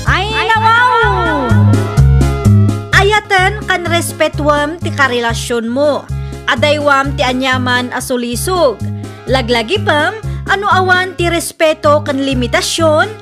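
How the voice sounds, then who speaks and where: High-voiced woman narrator over music. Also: slow. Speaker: narrator and channel host. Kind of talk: scripted moral sign-off narration.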